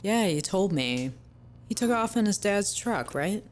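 A woman's voice: mid-range voice, lively intonation, a little slow, a little hiss or hum, natural voice. A woman acting in an audio drama as a concerned, encouraging young band member.

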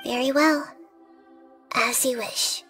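A woman voicing a small, wise child goddess in a video game, soft, girlish, measured and polite. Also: high voice, steady tone, soft background music. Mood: gentle, solemn.

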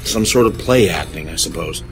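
Actor as deep, animated, troubled storyteller. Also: male voice, moderate pace, slight background noise. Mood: earnest. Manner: earnest, emphatic storytelling. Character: earnest, troubled husband recounting strange events. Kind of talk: dramatized horror audio drama narration.

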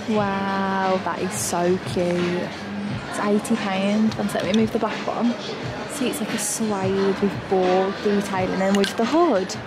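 A woman, chatting excitedly in a casual shopping vlog, filmed on a handheld phone inside big stores. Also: a little high. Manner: gushing, upbeat, lots of "like". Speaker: vlogger. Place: large indoor shopping stores. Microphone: handheld phone at arm's length. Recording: loud store ambience behind voice.

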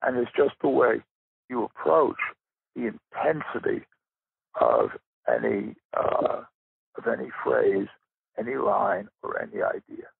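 An older man giving a reflective interview as a guest, with a gravelly, husky voice and deliberate, halting phrasing. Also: medium pitch, sing-song, dry recording. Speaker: interview guest, writer and rare book dealer. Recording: thin phone-like line.

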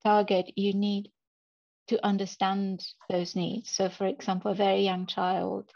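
A woman's voice: mid-range voice, level tone, measured pace, gravelly, a little mellow, no echo. A middle-aged woman, a translator, answering a panel question on a video call from her home study, thoughtful and hesitant. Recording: muffled video call audio.

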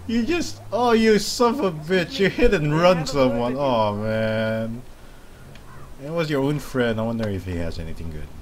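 A man's voice: mid-range voice, a little hiss or hum. A young man from Malaysia doing casual let's-play commentary, joking, swearing and laughing at the game. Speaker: gaming video host. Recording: music clearly under the voice. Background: game music and vehicle sounds.

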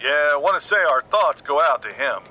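An actor playing an earnest, measured television sports commentator in an audio drama. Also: male voice, fairly high pitch, lively intonation, sharp tone, slight background noise, poor audio.

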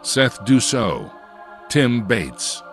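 A man reading scripted production credits as an announcer in a measured, formal way. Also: mid-range voice, sing-song. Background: faint music.

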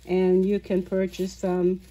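A woman hosting a haul video of her craft purchases, talking in an unhurried way with many small pauses and fillers. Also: on the low side, completely flat delivery, mellow, poor audio. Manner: slow, unhurried, halting with fillers. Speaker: craft channel host. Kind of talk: show-and-tell haul video. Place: indoors at a tabletop, voice-over of hands.

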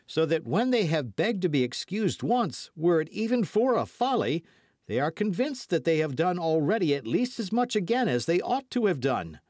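A man voicing an eager, proud and quarrelsome young swordsman in an audiobook, with an earnest, excitable manner. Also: no echo, studio quality. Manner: excitable, higher-pitched, earnest. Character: eager, proud, quarrelsome young male Gascon musketeer hopeful. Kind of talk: audiobook character dialogue.

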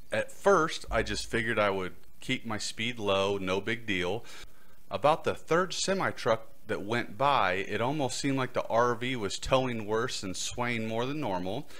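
A man's voice: on the low side, fairly bright tone. A middle-aged man hosting a podcast, telling a prepared personal story into a close microphone. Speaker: podcast host. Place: small studio set indoors. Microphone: close boom-arm microphone.

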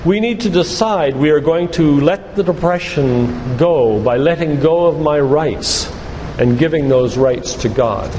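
An older man giving a sermon-like lecture in a church, speaking in an earnest, deliberate, emphatic way with repetition. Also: fairly high pitch. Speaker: doctor and guest church speaker. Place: church, live talk. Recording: echoey hall with loud background noise.

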